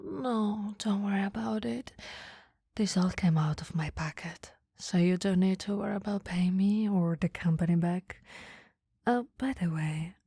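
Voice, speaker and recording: A woman playing a caring, flirty, easily flustered boss in a soft, intimate ASMR roleplay, often whispering, gently teasing and a little hesitant. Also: medium pitch, no room sound, clean studio sound. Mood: caring, matter-of-fact. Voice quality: breathy, soft. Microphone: close microphone, dry.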